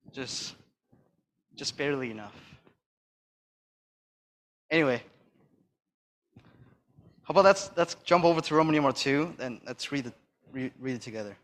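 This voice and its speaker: A soft-spoken man giving a sermon and reading Bible verses, hesitant with fillers and restarts, pressing hard on key phrases. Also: mid-range voice, unhurried, pauses often. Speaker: church speaker.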